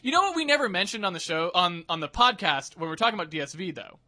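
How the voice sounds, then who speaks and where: A young man co-hosting a casual podcast, animated and profane, riffing with quick asides. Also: high voice. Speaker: podcast co-host.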